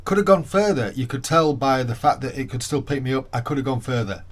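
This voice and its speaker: A man's voice: mid-range voice, animated, a little fast. A man with a British accent chatting casually to camera as a product reviewer. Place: in and around a van.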